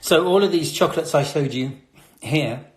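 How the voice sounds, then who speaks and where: A man's voice: fairly high pitch, moderate pace. A man from Britain, a chocolatier hosting a casual live chat, talking in a chatty, slightly nervous way with many fillers. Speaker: chocolatier host. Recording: roomy echo.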